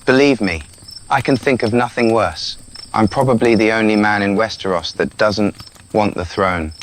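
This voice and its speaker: A man's voice: lowish voice, moderate pace, slight background noise, close to an everyday voice. A man with a British accent playing a restless, rebellious young prince in an intimate, sardonic audio roleplay. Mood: bitter, despairing. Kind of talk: scripted audio roleplay addressed to the listener.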